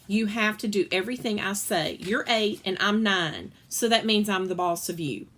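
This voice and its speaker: A woman's voice: medium pitch, normal speed, fairly bright tone, natural voice. A woman reads as a nine-year-old storybook girl who likes being in charge. She is bossy and sure of herself, handing out rules and instructions.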